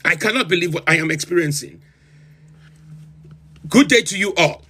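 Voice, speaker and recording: A man's voice: on the high side, normal speed, pushed and bright, breathy edge. A man playing a pompous self-styled president of a comic republic, who hosts a livestream and reels off long lists in theatrical disbelief. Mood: incredulous. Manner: incredulous, theatrical, lists items with rising emphasis. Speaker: livestream host. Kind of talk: comedic in-character livestream rant.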